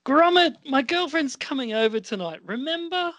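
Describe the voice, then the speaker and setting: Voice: high voice, expressive, normal speed, fairly bright tone, no echo, pitched above the performer's own voice. An amateur performer playing an exasperated young male college roommate in a comedy radio play.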